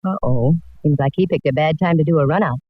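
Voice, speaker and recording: A woman's voice: sits low, quick pace, breathy edge, dry recording. A woman playing a breezy, wry, self-assured private detective who narrates a 1940s radio mystery. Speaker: narrator and lead. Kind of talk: 1940s radio acting, first-person narration. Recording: dull, noisy vintage radio recording.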